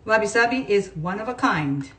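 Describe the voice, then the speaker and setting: A woman reading non-fiction aloud in a measured, deliberate way, like a writer presenting a text. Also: mid-range voice, animated, a bit bright. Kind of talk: read-aloud of non-fiction with brief informal asides. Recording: voice distant from the mic.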